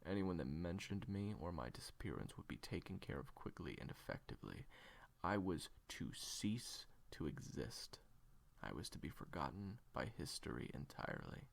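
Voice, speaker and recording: A man playing a haunted, weary assassin in an audio roleplay, speaking in a halting, brooding way with dramatic pauses. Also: very animated, exaggerated voice. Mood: bitter, brooding. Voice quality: gravelly, low. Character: haunted, weary male assassin raised as a nameless experiment.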